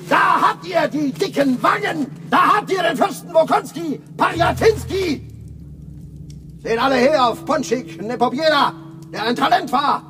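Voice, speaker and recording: Radio drama: very high, shouting accuser, far off in a big echoing hall. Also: male voice, full character voice. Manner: shouting, declamatory. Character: ranting man denouncing the crowd. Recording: distant voice in a reverberant hall.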